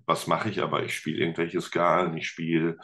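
A man's voice: sits low, a little fast. A man guest on an interview podcast, chatting casually and explaining things with lots of fillers. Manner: relaxed, explains with many fillers. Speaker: podcast guest, teacher and guitarist.

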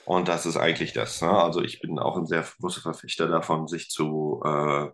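A man's voice: a bass voice, level tone, moderate pace, fairly bright tone. A man in his thirties, a teacher and musician, talking casually as a podcast guest, thoughtful and full of fillers and restarts. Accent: German. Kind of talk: casual interview talk.

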